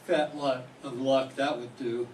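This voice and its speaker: An older man playing a sullen, hard-drinking young male fisherman in a 1940s-style radio drama, gruff and defensive. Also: mid-range voice, fairly even intonation, a little slow, a little mellow, lightly acted. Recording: roomy classroom from a distant mic, faint hiss.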